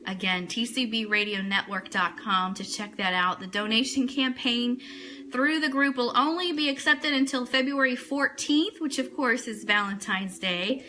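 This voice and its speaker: Female online radio host, bright and fairly high, talking informally and directly to a live audience of viewers. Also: normal speed, slight background noise. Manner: chatty, addressing viewers and reading their comments. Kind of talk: casual livestream chat with news items.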